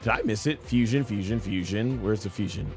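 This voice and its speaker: A man's voice: on the low side, a little fast, creaky, a little mellow, soft background music. A man streaming a video game, chatting informally with his viewers and commenting as he plays. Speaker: gaming streamer and content creator. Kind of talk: casual live stream commentary, reading viewer chat.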